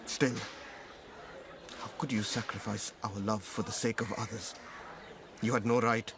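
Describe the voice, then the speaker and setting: A man's voice: on the low side, fairly even intonation, a little slow. A man playing a bitter, distrustful, broken former lover in a radio drama, intense and questioning in his delivery. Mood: bitter, accusing. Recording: clear background noise.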